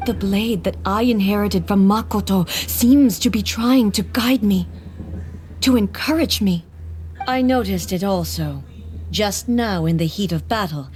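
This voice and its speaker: A woman playing a solemn, dignified warrior deity in scripted video game dialogue, formal and measured. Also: medium pitch, a little mellow, slight background noise, close to an everyday voice. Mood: solemn, resolute.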